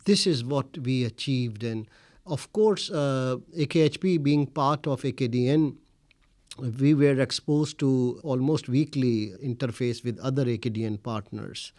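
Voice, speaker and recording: Measured Urdu-speaking Indian man, dry sound. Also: medium pitch, a little mellow, dry recording. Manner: measured, frequent "uh" pauses, formal phrasing. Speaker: interviewee, scholar. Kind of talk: reflective interview answers.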